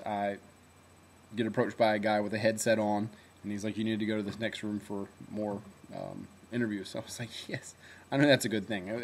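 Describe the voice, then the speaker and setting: A young man with a deep voice that sometimes swallows his words, telling a chatty story in a casual video diary with fillers and self-corrections. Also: a little hiss or hum. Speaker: former reality show contestant.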